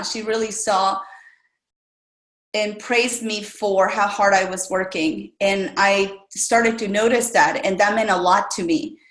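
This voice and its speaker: A middle-aged woman, Spanish her first language, speaks as an interview guest. She shares her story earnestly and reflectively, pausing often. Her voice carries a noticeable roomy echo. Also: medium pitch, a bit bright. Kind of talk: casual interview conversation.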